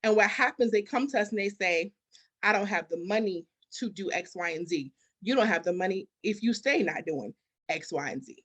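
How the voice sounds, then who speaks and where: A woman's voice: medium pitch, expressive, normal speed, a bit bright. Emphatic female coach being interviewed. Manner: emphatic, repetitive, rhetorical questions. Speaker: business architect and mindset coach, interview guest. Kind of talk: conversational motivational interview answers.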